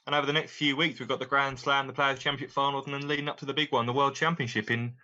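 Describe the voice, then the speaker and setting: A man co-hosting a podcast, talking brisk and informative about the sport season. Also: medium pitch, flat delivery, sharp tone, highs cut off. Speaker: darts commentator and co-host. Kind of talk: casual chat.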